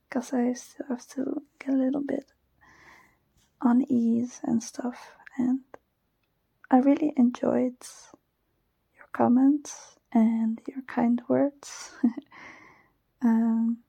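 A young woman with a Dutch accent whispering softly and shyly into a close microphone, giggling often and hesitating with many fillers. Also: fairly high pitch, little pitch movement, drawn-out words, vocal fry, pauses often, husky, no echo, poor audio. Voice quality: soft whisper. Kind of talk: whispered personal ramble, ASMR-like.

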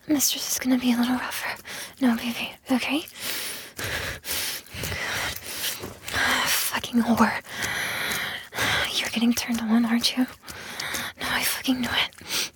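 A woman performing a whispered intimate roleplay, breathy and sighing, coaxing the listener by turns with commands and soothing reassurance. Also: a little high, level tone, slow, long pauses, a bit bright. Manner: breathy whispering with frequent sighs.

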